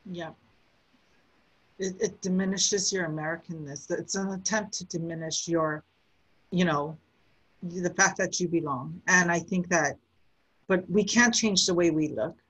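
A woman's voice: on the low side, a little slow, pauses often, fairly bright tone, breathy edge, low-quality recording. A woman from the United States co-hosting a podcast, explaining her views earnestly in long, casual stretches. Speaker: podcast co-host.

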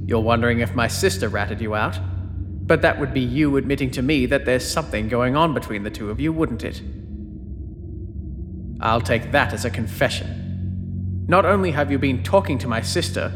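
A man's voice: medium pitch, normal speed, lightly acted. A man playing a menacing, condescending, controlling older brother who taunts, in an audio fiction drama. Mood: contemptuous. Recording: long echoing hall reverb, music behind. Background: dramatic music.

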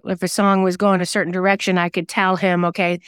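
A woman speaks conversationally as an interview guest, chatty and a little self-deprecating. Her voice is strikingly clear and smooth. The sound is dry, with no room on it. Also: lowish voice, little pitch movement, brisk, fairly bright tone, dry recording. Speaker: guest singer-songwriter and novelist.

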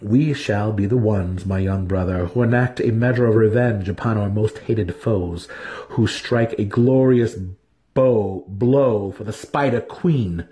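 A man voicing an eager, sly older dark elf brother in a fantasy audiobook, teasing, emphatic and fervent. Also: on the low side, unhurried, lightly acted. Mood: teasing, proud. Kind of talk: dramatic fantasy audiobook character reading.

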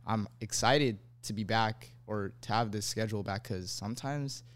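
A man's voice: fairly low pitch, normal speed, a little hiss or hum. A teenage guy, a guest who produces the show, speaking eagerly and saying "like" often. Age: teens. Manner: eager, lively, says like a lot. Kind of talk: casual chat.

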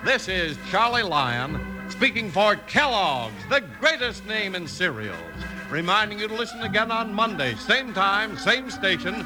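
Old time american radio announcer guy, high voice, very animated ad read, music under it. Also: a little slow, rough recording. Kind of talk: 1950s scripted radio ad announcing.

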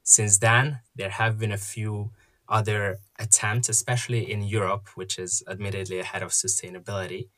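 A man from Hungary, a podcast guest explaining investing in a measured way with frequent pauses and fillers. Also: lowish voice, level tone, a bit bright. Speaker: guest, investment app founder. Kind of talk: podcast interview.

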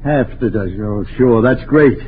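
A man's voice: mid-range voice, a little slow, lightly acted. An actor playing a flustered, grumbling middle-aged father and husband in a 1940s radio comedy. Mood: exasperated. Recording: tinny, noisy 1940s radio broadcast.

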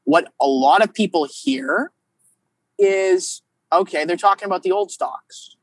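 A man's voice: high voice, a little slow, dry recording. A Canadian man speaks as a podcast guest in loose, conversational political talk. He is emphatic and argumentative, slipping into imagined exchanges to make his case. The sound is dry, with very little room. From Quebec, Canada. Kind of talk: casual, opinionated political conversation.

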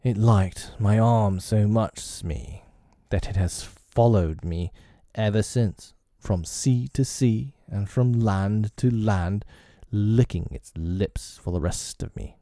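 A man voicing a proud, brooding, vengeful pirate captain from a storybook, with slow, drawn-out, dramatic delivery. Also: deep voice, lively intonation, mellow, no echo, studio quality. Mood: brooding, wincing.

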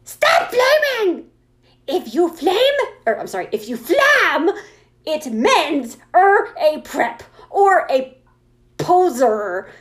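Woman putting on a petulant, loud teenage girl. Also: high voice, very animated, slow pace, voice pushed up, full character voice. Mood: indignant. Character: petulant, defensive teenage girl writer scolding her readers.

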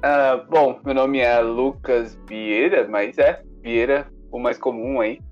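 A young man in his twenties answers interview questions on a casual podcast, candid and a little nervous. His voice sits at an ordinary middle pitch. The audio is slightly dull, with faint music underneath. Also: slow. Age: 20s. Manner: relaxed, candid, a bit nervous. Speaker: podcast co-host, interview guest. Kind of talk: casual chat.

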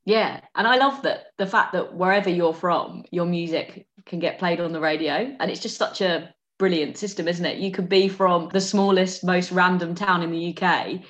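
A woman's voice: lowish voice, moderate pace, hardly any pauses. A woman presenter chatting enthusiastically as a guest over a video call. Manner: enthusiastic, animated, uses "like" often. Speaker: radio and TV presenter, podcast guest. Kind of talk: casual interview chat over video call.

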